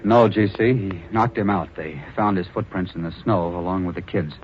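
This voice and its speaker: A young reporter with a dark family secret , played by an actor, speaks gravely in a 1940s radio drama. His voice is very deep and held back. Faint hiss runs under the dull old recording. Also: moderate pace, natural voice. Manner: grave and subdued, rising to pleading. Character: young male reporter, haunted orphan.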